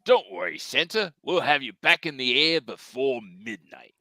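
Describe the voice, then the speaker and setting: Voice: medium pitch, sing-song, moderate pace, pushed and bright, no echo, clean studio sound. A performer at a live comedic table read playing a plucky, earnest cartoon hero with exaggerated delivery. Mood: reassuring.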